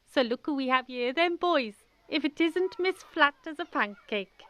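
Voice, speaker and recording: A woman's voice: sits high, animated, a little slow, pushed and bright, voice pushed up, exaggerated voice. A woman playing a swaggering teenage schoolboy bully with a Welsh accent, taunting in a radio drama. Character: cocky, jeering fifteen-year-old schoolboy bully.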